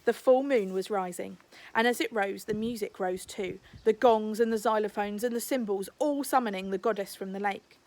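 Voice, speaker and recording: A woman's voice: mid-range voice, normal speed, a little mellow. A woman narrating a children's storybook aloud, like a teacher reading to a class.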